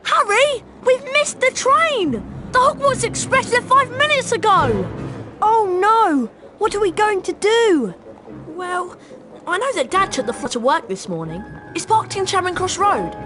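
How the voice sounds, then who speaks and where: A performer voicing an excitable, plain-spoken schoolboy wizard in a video game. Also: very high-pitched, unhurried, music in the background, exaggerated voice. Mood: panicked.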